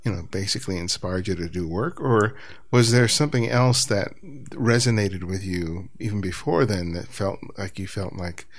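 Male podcast host, low, gravelly, thoughtful, stammers into questions. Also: normal speed. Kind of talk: interview questions.

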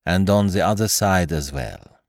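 A man's voice: low-pitched, measured pace, no room sound, clean studio sound. A man voicing a courteous, precise middle-aged detective.

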